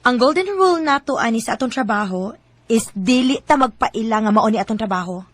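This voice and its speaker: An actress playing a worldly, chatty female coworker who hands out advice in a radio drama, expressive, with a Cebuano Filipino accent. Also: fairly high pitch, fairly quick. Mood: knowing advice.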